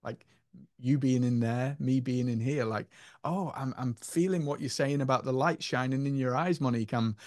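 A man's voice: medium pitch, moderate pace, darkish tone, no room sound, studio quality. A man co-hosting a podcast, talking in an earnest, musing way about spirituality and feelings. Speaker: podcast co-host. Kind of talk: casual spiritual chat.

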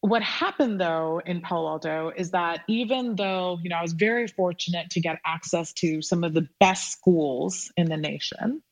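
A woman's voice: fairly low pitch, moderate pace, hardly any pauses. A young woman entrepreneur from Pakistan, talking earnestly and reflectively as a podcast guest, with frequent fillers. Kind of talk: casual interview talk.

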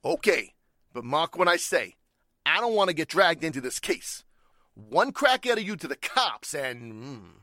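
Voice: medium pitch, very animated, a little slow, sharp tone, dry recording, studio quality. An actor playing a shady, menacing crook with slangy, ungrammatical speech in a 1940s-style radio drama. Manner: slangy, ungrammatical tough talk.

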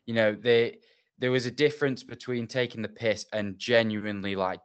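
A man hosting a podcast interview, reflecting in a relaxed way and asking follow-up questions. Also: medium pitch, moderate pace, clean tone. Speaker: podcast host. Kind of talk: casual interview.

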